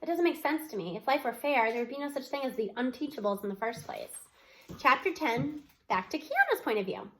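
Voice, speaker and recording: High-voiced woman reading a story aloud. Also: big pitch swings, brisk, a bit bright, low-quality recording. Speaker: read-aloud narrator. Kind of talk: expressive children's novel read-aloud, first person.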